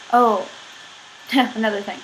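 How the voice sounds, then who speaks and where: A young woman rambling in a casual vlog, filling every pause with "like". She is sick, and her voice sounds a little husky. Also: medium pitch, background noise. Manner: chatty, filler-heavy, giggly, says "like" constantly. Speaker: vlogger.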